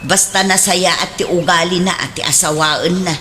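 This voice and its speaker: A woman's voice: on the low side, fairly even intonation, normal speed, pushed and bright, a little hiss or hum. Woman playing an inquisitive, fussing middle-aged mother. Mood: motherly, gossipy. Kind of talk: radio drama comedy acting.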